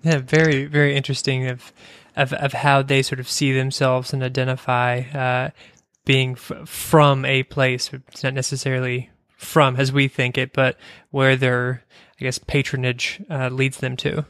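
Guy asking interview questions, smooth even voice, dry close sound. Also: mid-range voice, no room sound. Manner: measured, hesitant, brief affirmations.

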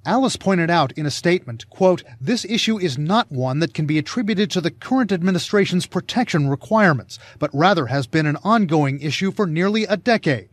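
A man's voice: on the high side, animated, a little fast, hardly any pauses, dry recording. A man narrating a scripted television news report as a reporter. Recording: news clip with clear outdoor noise.

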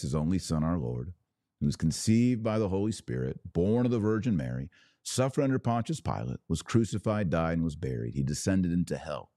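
A man hosting a religious podcast, preaching in an emphatic, declarative way with deliberate pauses and repetition. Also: low-pitched, dark tone, no echo, studio quality. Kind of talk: preaching monologue.

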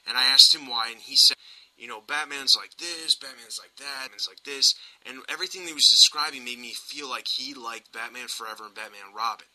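A man with a sharp, very bright voice at an average pitch. He hosts a podcast and talks film casually, pressing his opinions with emphasis. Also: brisk, rough recording. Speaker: podcast host.

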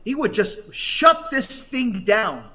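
A man giving religious commentary as a podcast guest, speaking emphatically and haltingly with restated phrases, over a video call. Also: high voice, very animated, sharp tone. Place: hotel room, on a remote connection.

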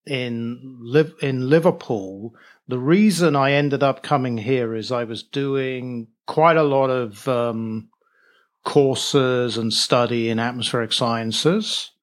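English guy, middle aged scientist being interviewed, talks slow n halting, feels his way through sentences. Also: medium pitch. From England. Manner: halting, searching for words. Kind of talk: casual interview conversation.

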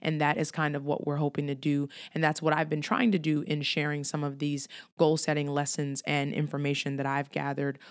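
Low-voiced woman hosting a podcast. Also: monotone, a little fast, few pauses, no echo, clean studio sound. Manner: earnest, encouraging, repeats phrases for emphasis. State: under the weather, voice a little off. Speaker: podcast host. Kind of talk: solo podcast talk.

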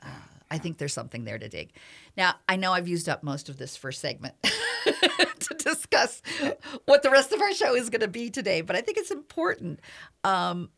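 Woman radio host, gravelly, animated pitch, earnest. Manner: earnest, measured, lots of fillers. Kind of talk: radio talk show hosting. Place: radio studio.

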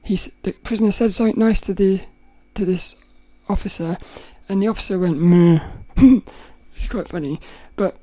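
A woman with a rough, cracking voice, hosting a podcast and thinking aloud in a rambling way, often laughing. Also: medium pitch, normal speed, warm tone, no echo. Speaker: podcast host. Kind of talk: casual reflective monologue.

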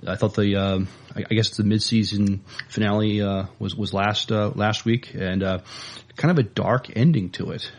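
A man's voice: lowish voice, steady tone, moderate pace. A man co-hosting a podcast, reading entertainment news and chatting in a hesitant, agreeable way. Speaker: podcast co-host.

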